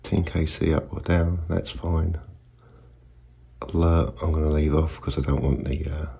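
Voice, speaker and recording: Male hobbyist presenter, dark husky tone with some gravel, unscripted and hesitant. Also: a bass voice, little pitch movement, a little slow. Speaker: video maker, CB radio hobbyist. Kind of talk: casual unscripted demonstration.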